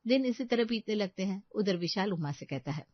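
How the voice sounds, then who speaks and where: A woman's voice: mid-range voice, lively intonation, brisk, no room sound, lo-fi sound. A woman giving a scripted narration of a story.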